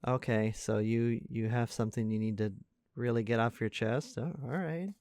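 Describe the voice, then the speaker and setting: A man playing an earnest, caring high school senior in an intimate audio roleplay, speaking casually and haltingly to a best friend. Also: darkish tone, smooth, dry recording, clean studio sound, close to an everyday voice. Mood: serious, bewildered. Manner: casual, halting, stammering, conversational.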